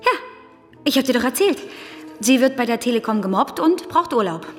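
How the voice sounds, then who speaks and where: An actress plays an appeasing, hesitant woman in a radio drama. Her fairly high voice swings in pitch as she speaks colloquially and apologetically. The hall around her reverberates. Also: lively intonation, fairly quick, lightly acted. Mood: flustered. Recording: long hall reverb under music.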